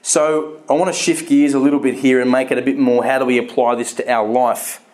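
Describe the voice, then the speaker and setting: A man's voice: mid-range voice, level tone, fairly quick, a little mellow. A man in his thirties with a stutter, giving a candid, earnest personal talk on faith to a live audience, often restarting his words.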